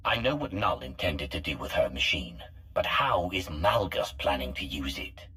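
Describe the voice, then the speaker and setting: A man's voice: rumbling low, sing-song, moderate pace, sharp tone, full character voice. A man voicing a wary, blunt hero in a video game, with a dry, questioning manner. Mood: wary, challenging. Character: wary, blunt male galactic hero, commanding and skeptical. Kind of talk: dramatic video game acting.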